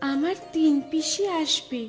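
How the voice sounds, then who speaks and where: Young fairy-tale bride: sweet, polite, very high-pitched, reverberant, soft music bed. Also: female voice, a little slow. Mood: eager, anxious. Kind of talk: Bengali fairy-tale cartoon acting. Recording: hall reverb, music behind.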